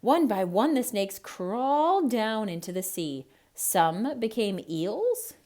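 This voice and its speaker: A woman reading a children's story aloud in a theatrical, expressive way with emphatic delivery. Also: medium pitch, slow. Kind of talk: theatrical read-aloud narration.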